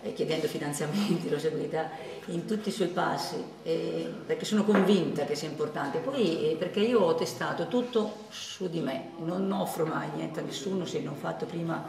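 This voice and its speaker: A woman's voice: sits low, moderate pace. Middle-aged woman, earnest repetitive presenter, distant camera, long reverb, crowd behind. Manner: earnest, repeats phrases for emphasis. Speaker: project creator presenting. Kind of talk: personal presentation speech. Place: office room with computers. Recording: echoey room from far off, with crowd.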